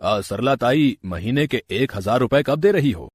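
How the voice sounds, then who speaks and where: A man voicing a stern, suspicious shop owner in an animated moral story, commanding and quick to scold. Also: mid-range voice, husky, dry recording, studio quality, pitched below the performer's own voice.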